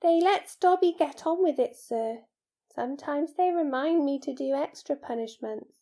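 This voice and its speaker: A woman voicing a small, squeaky, breathy male house-elf in an audiobook, servile, fearful and devoted, pleading in the third person. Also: moderate pace, mellow. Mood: resigned, servile.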